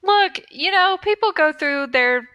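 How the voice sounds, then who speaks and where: A woman with a bright, fairly high voice and lively, swinging intonation. She banters casually as a podcast co-host, with a very dry, close sound. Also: measured pace, no echo.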